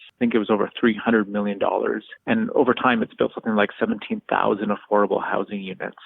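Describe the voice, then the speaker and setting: A man's voice: lowish voice, creaky, no room sound, phone-quality sound. A man from Canada, a community organizer, telling his story in earnest, conversational interview answers. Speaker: lead organizer, interview guest.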